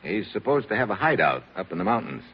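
An actor playing a dry, tough, unflappable American special agent in a 1940s radio drama. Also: male voice, on the low side, big pitch swings, quick pace, husky. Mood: probing. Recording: muffled, noisy old 1949 radio recording.

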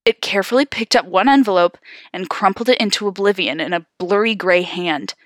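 A woman's voice: medium pitch, a little fast, no room sound. A woman podcast host reading horror stories aloud, with casual, amused asides. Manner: giggly, animated in asides.

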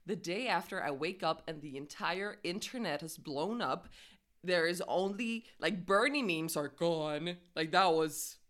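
A woman's voice: on the low side, a little slow, a bit bright. A young woman from Denmark co-hosting a podcast, teasing with bawdy jokes and laughing a lot. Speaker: podcast co-host. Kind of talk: casual chat.

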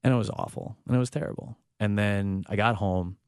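Deep gravelly man telling a heartfelt story. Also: a little fast, a little mellow, no echo, studio quality. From United States. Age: 30s. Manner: reflective and heartfelt, with frequent restarts. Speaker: sports broadcaster. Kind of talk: personal storytelling in a conversation.

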